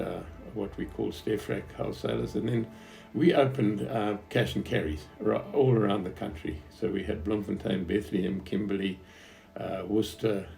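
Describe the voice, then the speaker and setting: Gravelly, low-voiced older Southern African man. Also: darkish tone. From Zimbabwe. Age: 70s. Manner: hesitant, reflective, halting phrasing. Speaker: businessman recounting his life. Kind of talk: unscripted autobiographical storytelling to an interviewer.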